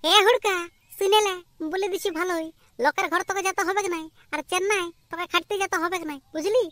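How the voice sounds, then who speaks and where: Exasperated village mum, cartoon comedy, squeaky-high, rapid-fire nagging, sarcastic, Purulia Bengali accent. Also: female voice, steady tone, a bit bright, no room sound. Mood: scolding. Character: scolding, exasperated village mother of grown sons. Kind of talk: amateur cartoon comedy dialogue.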